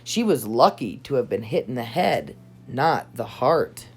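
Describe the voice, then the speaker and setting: Female storybook reader, low and warm-toned, expressive and dramatic phrasing, light music underneath. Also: unhurried, slightly rough. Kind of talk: expressive read-aloud of a children's storybook. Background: background music.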